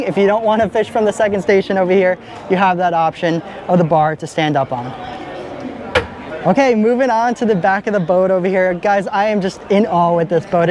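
A young man filming a walkaround boat review at arm's length in a large indoor boat show hall, talking with steady enthusiasm. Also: high voice. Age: young adult. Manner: enthusiastic, upbeat. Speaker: boat vlogger. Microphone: handheld camera at arm's length.

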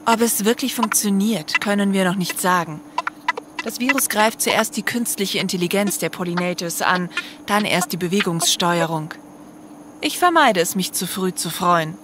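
Actress as serious forty-ish female scientist, audio drama, middle pitch, faint ambient noise. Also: lively intonation, moderate pace, close to an everyday voice. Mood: cautious. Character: stern, worried female scientist around forty.

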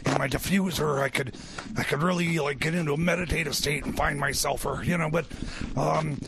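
A man voicing a flustered, spacey time machine mechanic in a comedy radio skit, halting and rambling as he stammers. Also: on the high side, steady tone, natural voice. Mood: spacey, searching. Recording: noisy with clicks.

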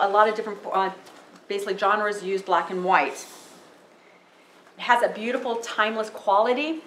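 A loud, chatty woman in her forties talks through a handheld mic in a library meeting room. Her voice rings around the room over the speakers, with a faint hum behind her. She leans on fillers as she goes. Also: mid-range voice, reverberant. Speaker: photographer giving a talk. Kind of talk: casual public talk. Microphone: handheld microphone through PA.

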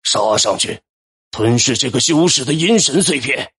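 Aggressive undead commander played by a man, very raspy, barking orders, fantasy audiobook. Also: mid-range voice, expressive, moderate pace, full character voice. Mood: fierce command. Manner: shouted commands. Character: aggressive undead ghost-soldier squad chief.

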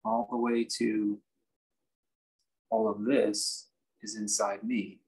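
A man teaching a guided meditation, speaking in measured, halting phrases with reflective pauses. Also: lowish voice, level tone, darkish tone, low-quality recording. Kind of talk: guided meditation, unscripted instruction.

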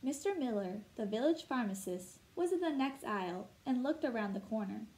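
A woman in her thirties reading a picture book aloud expressively, like a teacher, pausing to explain things. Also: fairly high pitch, expressive, darkish tone, poor audio. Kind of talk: expressive children's read-aloud narration. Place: indoor room at home.

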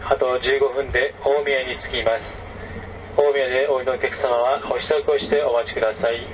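A male train conductor making formal onboard announcements through a public address speaker, with measured phrasing and frequent filler sounds. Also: mid-range voice, steady tone. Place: moving overnight sleeper train. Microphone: train public address system, recorded from a passenger seat. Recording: distant, dull, noisy 2006 recording of train PA. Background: train running noise.

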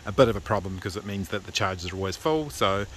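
A man with a New Zealand accent casually narrating an electric car road trip, relaxed and full of filler words. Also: low-pitched, fast. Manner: relaxed, chatty, many uh fillers. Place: inside a moving car and outdoors at chargers. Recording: noisy car and street background.

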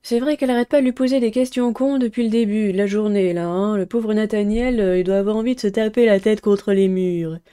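A woman's voice: medium pitch, steady tone, brisk, few pauses, dark tone, smooth. A woman, narrating a video game playthrough and reading a visual novel aloud. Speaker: gaming streamer.

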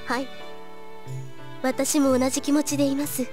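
A woman's voice: high-pitched, flat delivery, fast talker, natural voice. A woman playing a polite, composed maid with a restrained, deferential manner in a visual novel. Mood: calm, respectful. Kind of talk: Japanese visual novel voice acting. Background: game music.

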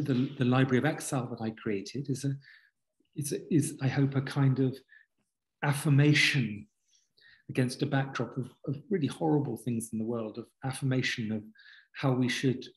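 A man in his fifties, a guest author on a video call from a book-lined home study, talking earnestly in a halting way with many restarts. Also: medium pitch, darkish tone, poor audio. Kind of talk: reflective conversational interview. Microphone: video call webcam.

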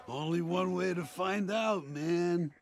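A man with an American accent voicing a mellow, spacey, laid-back hippie camper van for a cartoon game. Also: high voice, full character voice.

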